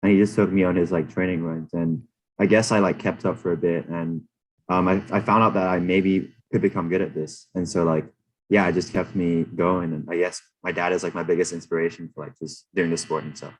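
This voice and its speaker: A young man from Hong Kong and Thailand, a student athlete giving casual, halting panel answers full of fillers. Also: low voice, steady tone, slight background noise, rough recording. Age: young adult. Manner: hesitant, filler-heavy with frequent "like".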